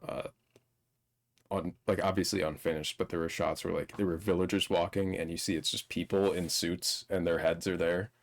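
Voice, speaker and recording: A man's voice: low voice, level tone, moderate pace, vocal fry. A man co-hosting a casual film discussion on a live stream, speaking bluntly and swearing freely. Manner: blunt, profane. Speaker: co-host reviewing a film. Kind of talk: casual, unscripted movie discussion.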